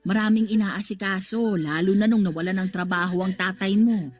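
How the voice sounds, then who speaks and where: A woman's voice: mid-range voice, moderate pace, soft background music, natural voice. An actress playing a middle-aged mother in a Tagalog radio drama, soothing and placating, a little evasive. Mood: defensive, apologetic.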